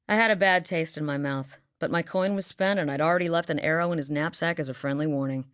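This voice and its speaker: A seasoned huntress from a distant southern island, speaking low and deep with dry, wry practicality. Her lines come from a video game, recorded close and dry in a studio. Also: no echo. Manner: wry, dry, matter-of-fact. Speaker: game companion character. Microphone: close studio mic.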